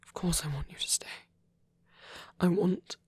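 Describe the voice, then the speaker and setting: A gentle, protective vampire priest, acted by a man for an ASMR roleplay, murmurs in an extremely breathy voice that keeps slipping into whispers. He is halting and earnest, as if carefully confessing his love. The sound is close and dry. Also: high-pitched, fairly even intonation, no echo. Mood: tender, protective. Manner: hesitant, halting, earnest delivery. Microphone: close microphone, dry sound.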